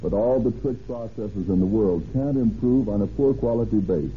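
A man's voice: fairly low pitch, normal speed, natural voice. A man plays a confident gas station salesman in a 1930s radio commercial. His patter is eager and emphatic. The sound is narrow and faintly hissy. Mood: confident selling. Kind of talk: 1930s radio advertisement acting. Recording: tinny, hissy old radio recording.

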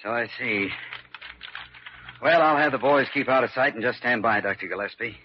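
A man's voice: mid-range voice, normal speed, slightly rough, natural voice. A male actor playing a reasonable, businesslike police lieutenant in a classic radio drama, firm but conciliatory. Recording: dry, dull vintage radio recording.

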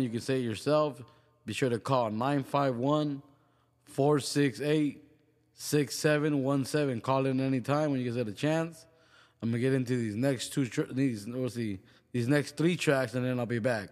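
A man hosting a music radio show, chatting casually and informally with slangy shout-outs. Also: medium pitch, in a large hall.

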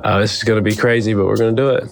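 A man's voice: lowish voice, steady tone, very fast, creaky, faint music behind. A man in his twenties from South Carolina, chatting casually as a dating show contestant. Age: 20s. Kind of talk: casual chat.

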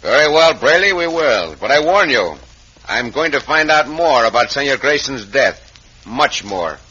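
An actor playing a courteous, confident Mexican cowboy hero with a Mexican accent and formal speech in an old radio western. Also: male voice, mid-range voice, very animated, moderate pace, pushed and bright, breathy edge, heavily acted. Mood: defiant. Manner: formal, courteous, avoids contractions. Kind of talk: 1950s radio western acting. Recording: dull, hissy old radio recording.

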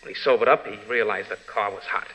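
A man's voice: on the low side, steady tone, quick pace, pushed and bright. An American man acting a bitter, resigned young prisoner facing execution in an old-time radio drama, with tough, slangy, fatalistic delivery. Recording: noisy vintage radio recording.

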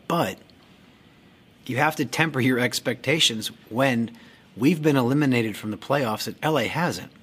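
A man hosts a soccer fan podcast in a relaxed monologue. He talks frankly and jokes around, swearing freely. His voice has a husky edge. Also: medium pitch. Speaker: podcast host.

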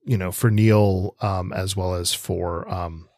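A man co-hosting a literary podcast, chatting in a halting way as he searches for words and hedges. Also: sits low, steady tone, a little mellow, dry recording, clean studio sound. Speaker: podcast co-host. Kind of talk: literary discussion, conversational.

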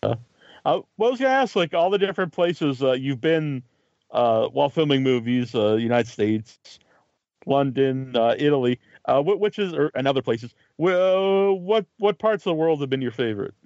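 A man hosting an interview, asking hesitant questions that are full of fillers. His pitch moves a lot, and the recording sounds dull and lo-fi with no room echo. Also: expressive, darkish tone. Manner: halting questions with many fillers. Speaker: podcast host. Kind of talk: casual interview chat.

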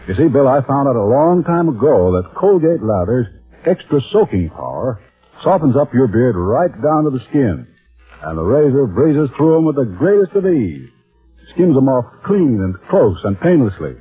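Male announcer for 1940s radio ads, very rough husky tone, lively swings, folksy sell, tinny broadcast. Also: mid-range voice. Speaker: commercial announcer. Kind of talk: 1940s radio advertising pitch.

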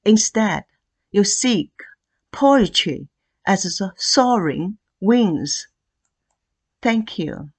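Female poet, very slow delivery, lively rising and falling pitch, deliberate phrasing, poetry reading. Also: slightly rough. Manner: deliberate, pausing between short phrases.

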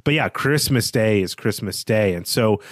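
A man's voice: lowish voice, lively intonation, moderate pace, no room sound, studio quality. A man co-hosting a podcast, talking through a book in a conversational style. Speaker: podcast co-host. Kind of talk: conversational literary discussion.

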